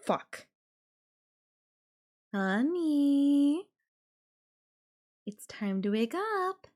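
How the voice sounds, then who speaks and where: A woman playing an obsessive, possessive girlfriend in an intimate roleplay audio drama, sweet but menacing, with breathy cooing. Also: high-pitched, big pitch swings, very slow, a little mellow, exaggerated voice. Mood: coaxing. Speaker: voice actor.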